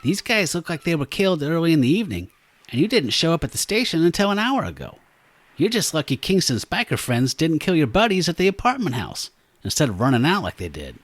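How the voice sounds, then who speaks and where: A brusque male cop played by a man fires off blunt, suspicious questions in a radio drama. His voice sits on the high side and is animated, with a dry, close sound. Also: a little fast, no echo. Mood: accusing. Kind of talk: old radio show acting.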